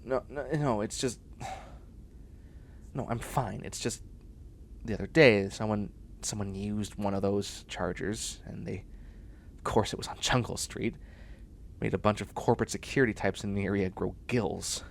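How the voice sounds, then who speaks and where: A man's voice: fairly low pitch, unhurried, vocal fry, slight background noise. A man playing a flustered, sardonic young man turning into a catboy in a comedic sci-fi audio roleplay, stammering with exasperation and sarcasm. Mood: uneasy, wry. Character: flustered, sardonic young man sprouting cat ears and tail.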